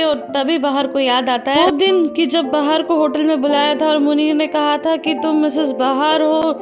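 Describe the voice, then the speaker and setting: A woman hosting a drama recap channel, retelling each scene in a chatty way and speaking straight to her viewers. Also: high voice. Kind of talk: conversational plot recap. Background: background music.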